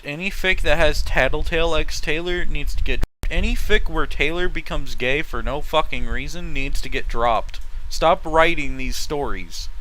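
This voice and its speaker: A man's voice: fairly high pitch, pushed and bright, low-quality recording. A man reading fan fiction aloud and adding casual, blunt, opinionated commentary with heavy swearing.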